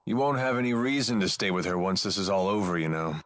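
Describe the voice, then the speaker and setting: A cynical, worldly man in a fantasy game. He speaks fairly low, with a dry, sardonic edge and a guarded temper. The sound is dry, with no room on it. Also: expressive, quick pace, fairly bright tone, dry recording. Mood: teasing, world-weary. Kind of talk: English game voice acting.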